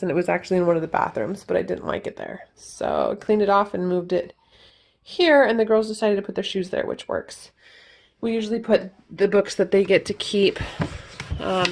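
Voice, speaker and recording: A woman in her thirties with a stuffed-up nose, rambling casually as she films a home tour at arm's length, with frequent ums. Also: mid-range voice. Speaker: vlogger. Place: family home, kitchen and kids' bedroom, indoors. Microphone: handheld camera at arm's length.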